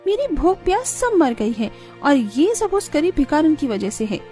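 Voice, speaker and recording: A woman acts out a haughty, jealous rich businesswoman from a moral cartoon. The voice is high and sharp-tongued, full of scolding and sneering, with light music underneath. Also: sing-song, normal speed. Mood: bitter scheming. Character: spiteful, haughty rich woman restaurant owner, jealous and scheming. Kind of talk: Hindi moral cartoon voice acting.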